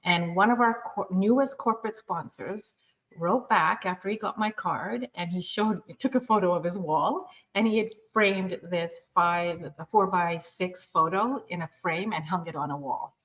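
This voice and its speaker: A woman from Uganda speaking on a nonprofit panel, telling a story in an earnest, lively way. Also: on the low side, moderate pace. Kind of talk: panel discussion. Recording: dull lo-fi sound.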